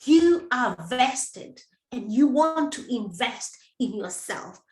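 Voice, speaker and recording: A middle-aged woman with a high voice speaks slowly and deliberately as a coach, encouraging her listeners and repeating key phrases. Her voice rings out in an echoey room. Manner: encouraging, repetitive, direct address to listener. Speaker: menopause coach and host. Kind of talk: live motivational talk to viewers.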